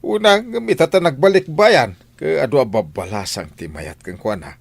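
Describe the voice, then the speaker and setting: A man's voice: medium pitch, expressive, moderate pace, gravelly, no echo. An actor with an Ilocano Filipino accent playing a gossipy male buddy in a radio drama. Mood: chatty. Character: animated male buddy gossiping about his cousin.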